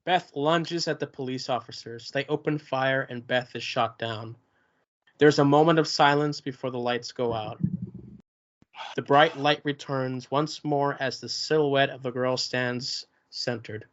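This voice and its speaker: Plain, steady stage-direction reader. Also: male voice, medium pitch, measured pace. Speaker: narrator reading stage directions. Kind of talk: table read of stage directions. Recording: muffled lo-fi recording.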